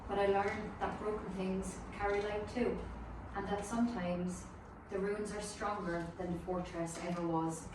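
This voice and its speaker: A woman's voice: medium pitch, fairly even intonation, moderate pace, close to an everyday voice. Fragile but strong woman, acted by an actress, film drama monologue, reflective, very echoey recording. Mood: quiet resolve. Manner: quiet, reflective. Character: quiet, fragile yet resilient woman. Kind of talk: dramatic table-read acting. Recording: echoey room, distant, noisy.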